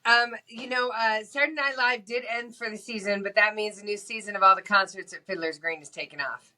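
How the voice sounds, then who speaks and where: Female podcast co-host, bright and forceful, emphatic chummy banter. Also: medium pitch, brisk. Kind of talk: casual comedic banter.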